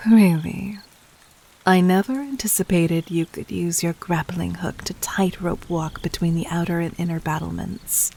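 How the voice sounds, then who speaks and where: A woman playing a poised demon queen in an audio roleplay, speaking formally to the listener with teasing condescension and breathy, whispered touches. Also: lowish voice, steady tone, unhurried, mellow, low-quality recording. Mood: intrigued.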